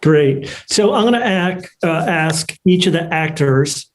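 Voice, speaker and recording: A man's voice: a little high, expressive, a little slow. A man moderating a panel on a video call, casually putting questions and thinking aloud as he goes.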